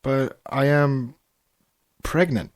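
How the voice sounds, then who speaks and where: A man voicing a composed, gentle and wry young mare princess in a storybook read-aloud. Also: mid-range voice, steady tone, drawn-out words, smooth.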